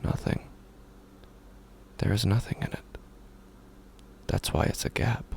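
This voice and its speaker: A man playing a grieving, sleepless man in a horror audio drama, brooding and halting, in a gravelly, breathy near-whisper. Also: darkish tone, natural voice. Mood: hushed, haunted. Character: grieving, hollow, sleepless man wandering at night. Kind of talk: scripted first-person horror audio fiction narration.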